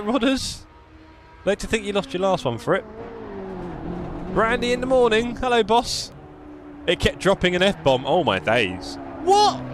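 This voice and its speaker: A man with a London accent chatting and joking with his live stream chat while playing a racing game. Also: high voice. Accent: London English. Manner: chatty, jokey, reads chat aloud.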